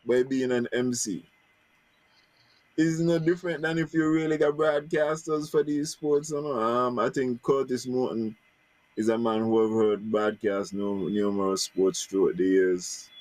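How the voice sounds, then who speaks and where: A man's voice: medium pitch, fairly even intonation, unhurried, darkish tone, dry recording. A man hosting an interview, talking in a loose, conversational way as he sets up his questions. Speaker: host. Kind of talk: casual interview chat.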